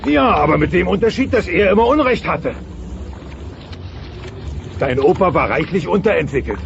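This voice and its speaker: A man's voice: sits high, lively intonation, normal speed, vocal fry, background noise. An actor playing a bossy, melodramatic middle-aged dinosaur father in a radio play, blustering and theatrical. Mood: reflective. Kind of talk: German-dubbed sitcom radio play. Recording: dull cassette transfer.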